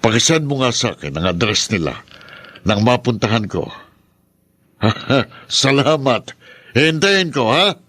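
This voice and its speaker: Husky, slow older uncle. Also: male voice, mid-range voice, very animated, fairly bright tone, dry recording. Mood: relieved, hopeful. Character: gentle older uncle, concerned younger brother. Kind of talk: radio drama acting.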